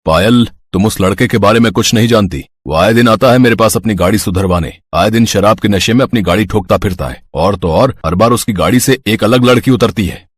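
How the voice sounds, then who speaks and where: A stern, principled father from a cartoon moral story, played by a man. His voice is fairly low and husky, with very little room sound. He speaks dramatically and reproachfully. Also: a little fast, dry recording, clean studio sound. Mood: stern, angry. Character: stern, principled working-class father of a grown daughter.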